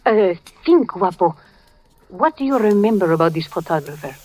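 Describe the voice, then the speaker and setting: A woman's voice: on the low side, lively intonation, normal speed, darkish tone. An actress playing a lonely, protective Cuban woman of the night in a 1940s radio thriller, speaking sultry, matter-of-fact broken English with a Spanish accent. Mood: probing. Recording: vintage radio drama recording.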